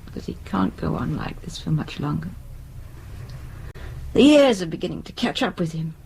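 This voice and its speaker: A woman's voice: low-pitched, expressive, moderate pace, vocal fry, a little mellow, slight background noise, low-quality recording. An actress playing a resigned, anxious middle-aged British wife and mother in a naturalistic radio drama, hesitant and wistful. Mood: anxious, sad.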